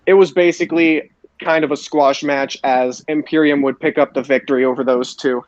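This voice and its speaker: A man's voice: on the high side, steady tone, moderate pace, dry recording, lo-fi sound. A man hosting a podcast, recapping wrestling shows for his co-hosts. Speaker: podcast host.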